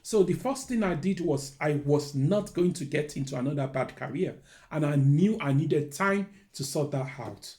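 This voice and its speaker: A man's voice: on the high side, animated, normal speed, a little mellow. A middle-aged man with a Nigerian accent, a careers adviser earnestly telling his own career story and giving advice. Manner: earnest, candid, repeats phrases like "to be honest".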